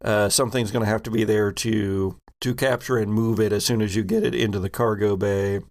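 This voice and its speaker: A man with a lowish voice serves as game master of a tabletop game. He improvises his narration and explanations, stopping often mid-phrase as he picks his words. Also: steady tone, brisk, darkish tone.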